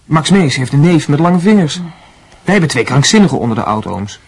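A man's voice: fairly high pitch, big pitch swings, brisk, husky, exaggerated voice. An actor playing a defensive, flippant young man in a radio drama, talking wryly back. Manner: wry, defensive.

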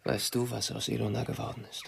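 A man acting in a radio drama as a bitter, insistent man confronting an old rival, gravelly and intense. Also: medium pitch, flat delivery, fast, fairly bright tone, slight background noise. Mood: bitter, tense. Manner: intense, demanding.

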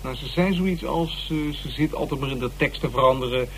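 Actor as a brisk, fast-talking male assistant investigator. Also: medium pitch, slight background noise, lightly acted, rough recording. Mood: matter-of-fact, arguing. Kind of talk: naturalistic Dutch radio drama dialogue.

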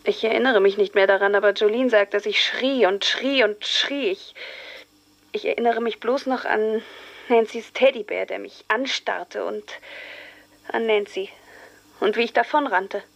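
A young woman acting a shaken teenage girl in a radio drama, recounting in a hushed, hesitant way as a witness. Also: medium pitch, moderate pace, vocal fry, lo-fi sound, close to an everyday voice. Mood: shaken, halting. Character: shaken teenage girl recalling finding her murdered friend. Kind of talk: dramatised witness testimony in a German radio play.

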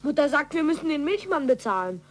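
A woman's voice: sits high, big pitch swings, fast talker. A woman playing an earnest, curious twelve-year-old boy in a 1940s German radio play.

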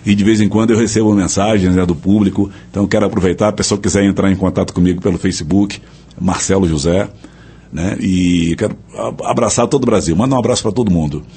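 A man who is a guest singer, chatting on a radio interview, grateful and a little halting. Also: low-pitched, fairly even intonation, dark tone, breathy edge.

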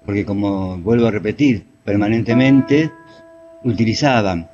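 An Argentine man giving formal witness testimony, halting and repeating himself. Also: lowish voice, darkish tone. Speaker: survivor witness. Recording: dull lo-fi recording, music underneath. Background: plucked guitar music.

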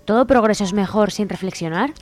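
Spanish lady reading radio news headlines, mid-pitched voice, bit of music behind. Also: a little fast, a little mellow. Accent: Spanish, from Spain. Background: background music.

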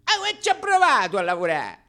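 An actor playing a boastful Roman street bully in a comic theatrical monologue, with a thick Roman accent and a swaggering, declamatory slangy delivery. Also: male voice, high voice, wildly swooping pitch, a little fast, sharp tone, exaggerated voice. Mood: boastful storytelling. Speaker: stage actor performing a one-man radio play.

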